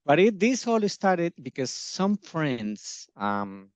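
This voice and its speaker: A middle-aged man originally from Colombia, an education agency founder talking in an interview, pausing often with fillers and restarting his sentences. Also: a little high, sing-song, no room sound. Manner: hesitant, many fillers and restarts. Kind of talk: casual video-call interview.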